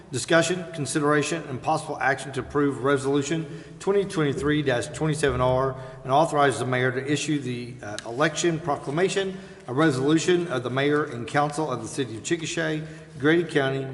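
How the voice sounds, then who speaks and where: A man's voice: fairly high pitch, moderate pace. A man formally chairing a council meeting, reading out agenda items as the presiding officer. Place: council chambers. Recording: hall reverb of a meeting room.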